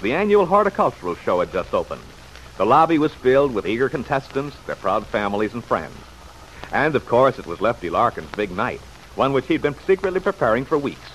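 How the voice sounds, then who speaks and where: A man's voice: medium pitch, very animated, fairly quick, a bit bright. A male announcer narrating a scripted old-time radio serial in a polished storytelling manner. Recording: noisy vintage radio broadcast.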